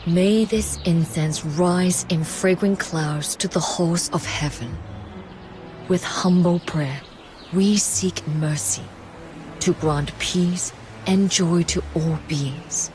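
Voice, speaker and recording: Devout female supplicant, lowish voice, slow formal prayer, noisy ambience behind. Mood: reverent. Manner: reverent, formal chanting prayer. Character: solemn devout female worshipper praying. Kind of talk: video game character acting.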